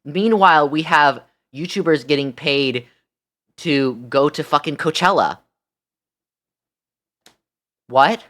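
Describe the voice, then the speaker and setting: A young American man chatting casually as a live streamer, ranting in an animated, exasperated way and swearing freely. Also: a little high, unhurried. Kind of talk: casual livestream chat.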